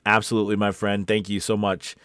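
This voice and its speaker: A low-pitched American man speaks as the host of a casual livestream. He talks directly to his viewers, stressing and repeating his main points. The sound is close and dry. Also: level tone, a little fast, fairly bright tone, clean tone, no room sound. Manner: emphatic, repeats key points for encouragement. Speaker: host of a live stream coaching voice actors. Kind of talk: casual livestream chat reading out viewer comments.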